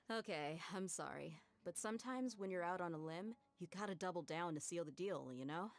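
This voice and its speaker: An actress voicing a bold young female adventurer in a video game, steady and wry. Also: lowish voice, moderate pace. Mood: resolute.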